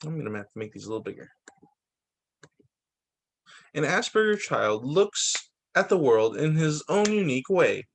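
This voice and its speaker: Male teacher for children's read-aloud: higher-than-average voice, very animated melody, expressive storytelling with casual asides. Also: moderate pace, gravelly, long pauses. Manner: expressive reading with short spoken asides. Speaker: teacher reading picture books to pupils.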